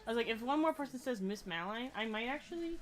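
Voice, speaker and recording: Loud, projecting young woman, chatty. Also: a little high, expressive, fast talker, a little hiss or hum. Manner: chatty, showing off plushies. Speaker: streamer. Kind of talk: casual chat.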